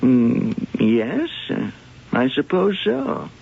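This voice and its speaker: An actor playing a learned museum professor in a radio drama, speaking deliberately with hesitant pauses. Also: male voice, mid-range voice, wildly swooping pitch, vocal fry, very noisy, full character voice. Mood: scholarly, guarded. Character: learned, measured male museum professor, expert on cats. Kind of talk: old-time radio drama acting. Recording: dull old radio drama recording.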